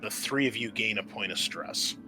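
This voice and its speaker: Bright-voiced, low-pitched man as game master. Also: soft background music. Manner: halting, explanatory. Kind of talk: improvised narration of a tabletop game.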